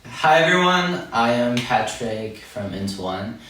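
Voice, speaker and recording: A man's voice: mid-range voice, sing-song, a little slow, sharp tone, slight background noise. A young man from Thailand, a boy band singer, chatty and upbeat in an interview. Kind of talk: interview answers. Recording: echoey room, mic at a distance.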